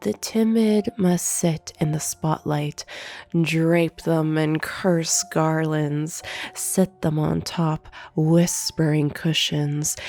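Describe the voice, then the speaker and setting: Demon duchess , voiced by a woman: haughty, sadistic banquet hostess for ASMR roleplay; dark mellow tone, very unhurried, breathy whispers, formal and teasing. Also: low-pitched, full character voice. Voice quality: breathy, often dropping into whisper. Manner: slow, formal, imperious, menacing teasing. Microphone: close binaural microphone. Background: soft piano music.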